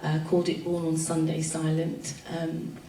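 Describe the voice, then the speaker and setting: A woman from London with a London accent gives a reflective, informal panel talk, pausing on ums and restarting her sentences. Her voice sits low and stays flat in pitch. She is picked up from a distance in an echoey room with a faint hum of ambience behind her. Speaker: panel speaker, writer. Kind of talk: spoken presentation at a panel. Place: event room at a festival panel.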